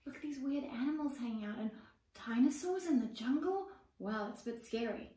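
A woman's voice: a little high, brisk, distant mic. A woman in her forties with a South African accent reading a picture book aloud expressively, with questions to young listeners. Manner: expressive, addressing children with questions. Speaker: children's book author and reader. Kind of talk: storybook read-aloud with asides to young listeners. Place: indoor room with bookshelves and plants. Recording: roomy echo, away from the mic.

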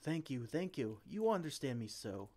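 A man's voice: mid-range voice, sing-song, normal speed, darkish tone. A man playing a flustered, well-meaning potion-brewing mage in an ASMR roleplay, theatrical and exclamatory. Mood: pleading, reassuring. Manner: theatrical, exclamatory, speaks to a silent assistant.

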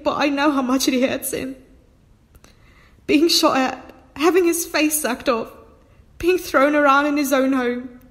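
A woman playing the weary, earnest daughter of a besieged family in a sci-fi audio drama, speaking plainly. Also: high voice.

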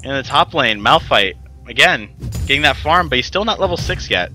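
A young man doing casual live commentary on a college esports match, smooth-voiced, laughing easily and correcting himself as he goes. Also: medium pitch, expressive, brisk, bright, forceful tone, faint music behind. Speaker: esports caster.

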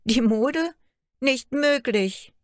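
A woman's voice: mid-range voice, steady tone, normal speed, warm tone, no echo, studio quality, pitched above the performer's own voice, natural voice. A woman voicing Märchen, a young girl who is the spirit of fairy tales, pleading and tearful. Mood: startled.

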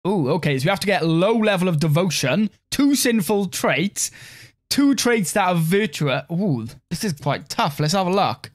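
A man's voice: sits high, normal speed. A young man from Wales, casually commentating his strategy game live and chatting with viewers, rambling and filling his talk with "like.". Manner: relaxed, rambling, heavy use of "like". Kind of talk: live-stream gameplay commentary, reading chat.

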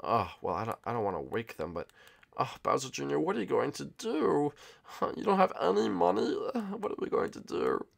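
A man's voice: mid-range voice, sing-song, a little fast, creaky, exaggerated voice. A man voicing a whiny, scheming, spoiled young monster boy in a comedy skit, rambling dramatically and repeating himself. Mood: self-pitying.

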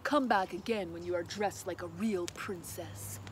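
A woman's voice: lowish voice, a little slow, a little hiss or hum, voice pushed down, close to an everyday voice. A woman voicing a haughty, disdainful young prince in a storybook. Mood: scornful. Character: snooty, scornful young prince.

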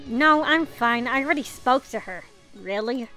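Bright, high-pitched woman playing a visual novel. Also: lively intonation, normal speed, faint music behind, poor audio. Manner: reactive, informal, mild swearing. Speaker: gamer reading a visual novel aloud. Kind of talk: casual playthrough with read-aloud dialogue and reactions.

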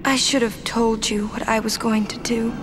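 An actress playing a gentle, dutiful young summoner in a video game, speaking in an earnest, formal and hesitant way. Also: female voice, fairly high pitch, steady tone, normal speed, background noise, lightly acted. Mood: regretful.

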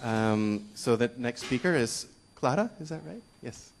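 A man's voice: mid-range voice, animated, measured pace, a little hiss or hum. A middle-aged man chairing a conference session, introducing the next speaker into a handheld microphone in a conference room. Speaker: session moderator. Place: conference room, seated at a table.

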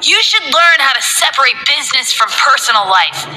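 A woman voicing a shrill, sneering male executive. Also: very animated, normal speed, pushed and bright, faint music behind, heavily acted, poor audio. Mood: taunting. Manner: shrill, taunting.

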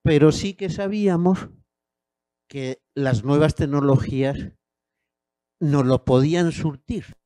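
A man in his sixties from Spain, with a Castilian Spanish accent, giving a measured academic lecture into a handheld mic in a university auditorium, with hesitant fillers. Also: on the high side, warm tone, no echo, studio quality. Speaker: guest lecturer.

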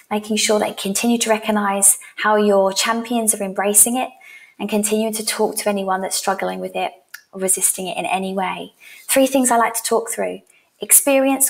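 A woman's voice: medium pitch, vocal fry. A woman in her thirties presenting as a sales coach in an instructional style, direct and encouraging, speaking into a headset mic. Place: small room at home, indoors.

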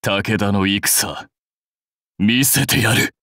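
An actor playing a proud, brusque warlord for a mobile game, curt, commanding and self-assured in manner. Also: male voice, lowish voice, extremely slow, bright, forceful tone, no room sound, clean studio sound, full character voice. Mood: menacing, commanding. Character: proud, brusque warlord rider devoted to his clan. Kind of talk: Japanese mobile game character lines.

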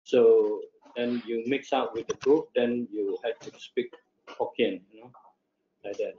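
A man's voice: medium pitch, steady tone, measured pace, mellow, slight background noise. An older man from Malaysia introducing himself on a video call, hesitant and self-deprecating, with small laughs. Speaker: panelist, interpreter. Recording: lo-fi echoey video call audio.

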